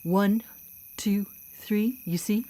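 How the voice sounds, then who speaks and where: A woman's voice: medium pitch, slow pace, dark tone, close to an everyday voice. An actress in a live radio drama playing a vengeful female vigilante killer, mocking, cocky and coolly menacing. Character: vengeful, taunting female vigilante killer who hunts predators.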